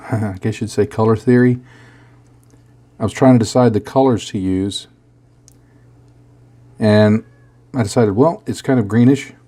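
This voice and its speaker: A man with a bad cold and a rough, hoarse voice, casually walking through a model painting tutorial with many small restarts. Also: on the low side, warm tone. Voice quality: rough, gravelly. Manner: rambling, self-deprecating, many restarts.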